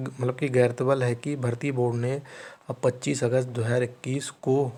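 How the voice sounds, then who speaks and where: A man with a mid-pitched voice explains recruitment news. He reads aloud, then breaks it down in a teacherly manner, often restating points and checking that listeners follow. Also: darkish tone, a little hiss or hum. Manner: repeatedly rephrases and checks understanding, teacherly. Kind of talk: informal explainer, reading news aloud and commenting.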